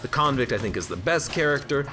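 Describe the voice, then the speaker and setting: Man, game streamer, congested from allergies, rambling self-joking chat, lively swooping pitch, into his desk mic. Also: quick pace. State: stuffed up from allergies. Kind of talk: casual let's-play commentary. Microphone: own desk microphone. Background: game music and gunfire.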